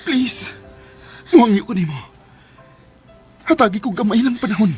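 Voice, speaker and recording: An actor playing an earnest, insistent male doctor in a radio drama, pleading in a measured, urgent way. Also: mid-range voice, animated, darkish tone, soft background music, lightly acted.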